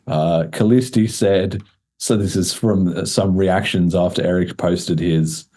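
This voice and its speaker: Male podcast host, low pitch, relaxed dark tone, informal and slangy. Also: measured pace. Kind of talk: casual podcast chat.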